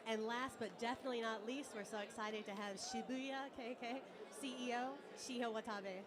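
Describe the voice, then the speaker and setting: A woman's voice: a little high, fairly even intonation, normal speed, background noise. Upbeat woman moderating a panel. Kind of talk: introducing a conference panel.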